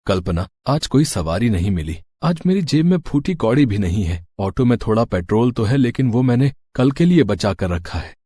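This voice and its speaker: A man voicing a kind, humble working-class father in a cartoon moral story, gentle and reassuring. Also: medium pitch, big pitch swings, moderate pace, few pauses, slightly rough, no room sound, clean studio sound. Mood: calm, reassuring. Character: kind, humble, poor rickshaw-driver father, reassuring husband.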